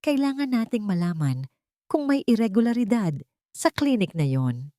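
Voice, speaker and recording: A woman's voice: mid-range voice, very animated, moderate pace, warm tone, no room sound, clean studio sound, pitched above the performer's own voice, lightly acted. A woman voicing a wronged, earnest wife in an audio story. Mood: urgent. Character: wronged wife, earnest and wounded, seeking truth.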